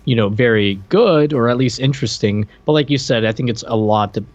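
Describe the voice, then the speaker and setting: A man's voice: mid-range voice, a little fast, highs cut off. A man co-hosting a podcast in a casual, conversational discussion. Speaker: podcast co-host.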